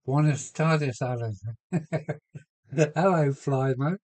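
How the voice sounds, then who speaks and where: A man with a cold chatting casually on a home live stream from his loft, answering viewers and trying out halting Spanish phrases. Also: on the high side, darkish tone. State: has a cold. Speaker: model railway live streamer.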